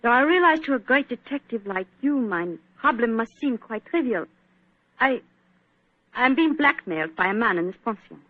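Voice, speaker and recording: A woman's voice: on the high side, lively intonation, normal speed, no room sound. Proud young Viennese woman, German accent. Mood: anxious. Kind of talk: 1940s radio drama acting. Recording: dry, muffled 1940s radio broadcast.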